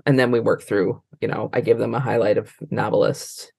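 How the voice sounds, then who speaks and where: A woman's voice: extremely low pitch, steady tone, a little fast, creaky, a little mellow, slightly rough, dry recording. An American woman talking casually as an interview guest, rambling and often trailing off mid-thought. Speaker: interview guest, librarian and trainer.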